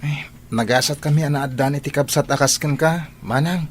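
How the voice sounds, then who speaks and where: A respectful young student, the little brother in an Ilocano radio drama, acted by a man. His voice is fairly high with an Ilocano accent, over faint noise. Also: steady tone, normal speed, lightly acted. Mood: eager, reassuring.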